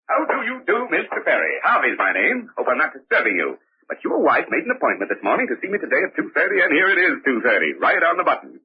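Brisk fussy businessman, hugely animated, vintage radio. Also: fairly high pitch, husky, exaggerated voice. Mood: brisk, self-satisfied. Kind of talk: old-time radio drama acting. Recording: muffled, faintly noisy old radio recording.

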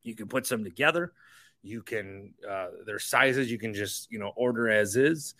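A man's voice: lowish voice, normal speed, sharp tone, no echo. A man hosting a sports podcast, talking casually in an opinionated, blunt manner. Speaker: podcast host.

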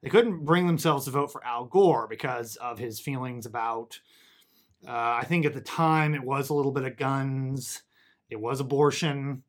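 A man's voice: medium pitch, moderate pace. A man hosting a podcast interview, conversational and chatty, laughing easily. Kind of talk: casual interview chat.

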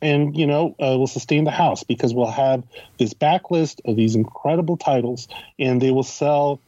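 Male critic, conversational and explanatory, relaxed dark tone, dry slightly muffled recording. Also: medium pitch, normal speed, no echo. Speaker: podcast guest, critic and editor. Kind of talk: conversational interview commentary.

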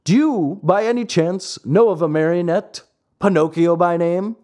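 A narrator voicing a kindly, wise pigeon in a storybook, speaking in a measured, courteous way. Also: medium pitch, warm tone, natural voice. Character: kindly, wise grown pigeon addressing a boy.

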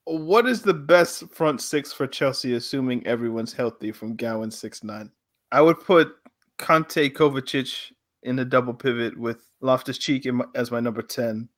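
A man's voice: mid-range voice, moderate pace. A man co-hosting a casual football chat, laid-back and joking, reading out listener questions and laughing often. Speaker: football podcast co-host.

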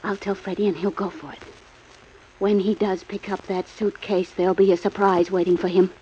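An actress playing a scheming young woman begging her lover in a 1940s radio drama, pleading and breathy. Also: medium pitch, little pitch movement, moderate pace, warm tone, slight background noise, close to an everyday voice. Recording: dull old radio recording.

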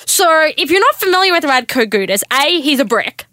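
A woman's voice: sits high, very animated, normal speed, sharp tone. A woman in her twenties from Australia with an Australian accent, telling chatty stories as a podcast guest host, full of "like" and "um". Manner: chatty, storytelling, many fillers like "like" and "um". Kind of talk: casual podcast chat.

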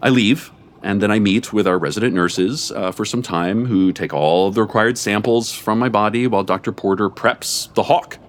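A man reading a comic story aloud before a live audience, with expressive, animated storytelling and a deadpan edge. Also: fairly low pitch, moderate pace. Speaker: story reader.

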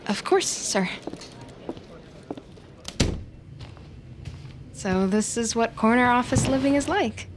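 A woman's voice: fairly high pitch, animated, moderate pace, noisy background, natural voice. A woman playing a defiant, agitated office team leader with secrets in an audio drama, stammering and speaking dramatically. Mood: nervous, deferential. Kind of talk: audio fiction acting with confiding monologue. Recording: music bed behind the voice.

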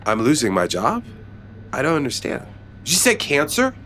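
A man's voice: medium pitch, huge pitch swings, moderate pace, fairly bright tone, slight background noise. A man playing a meek, bewildered everyman in an audio comedy drama, polite, hesitant and pleading.

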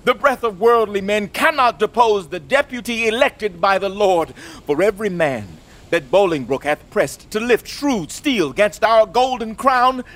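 A man's voice: high voice, animated, measured pace, hardly any pauses, fairly bright tone. An actor playing a proud, doomed young English king, speaking in grand, declamatory Shakespearean verse that swings from whispers to ringing cries. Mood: defiant. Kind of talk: Shakespearean stage acting.

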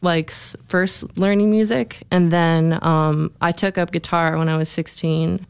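Young woman singer chatting on air, kinda low voice, lots of likes and ums. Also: fairly even intonation, unhurried, creaky, smooth, no room sound, clean studio sound. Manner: chatty, with fillers and little laughs. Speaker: guest musician. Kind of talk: casual interview talk.

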